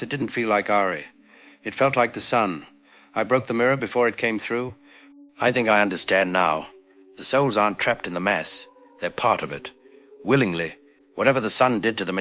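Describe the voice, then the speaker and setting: A man playing a grieving scientist recording a desperate, halting confessional log in an audio drama. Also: fairly low pitch, sharp tone, muffled recording. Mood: resigned, despairing. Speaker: doctor and researcher.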